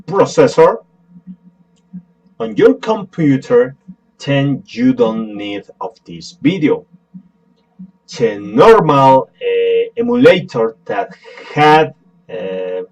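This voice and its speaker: A man with a Spanish accent, a native Spanish speaker, giving a software tutorial in a halting, apologetic way with many fillers and restarts. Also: medium pitch, lo-fi sound. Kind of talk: screen-recorded tutorial.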